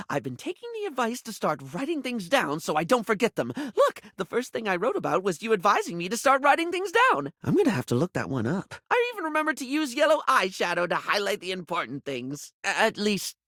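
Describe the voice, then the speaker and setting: A man's voice: sits high, sharp tone, no room sound, studio quality, full character voice. A man voicing a flamboyant, scatterbrained, affectionate male character in a dating video game, chatty, rambling and effusive. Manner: chatty, rambling, effusive, stammering when flustered.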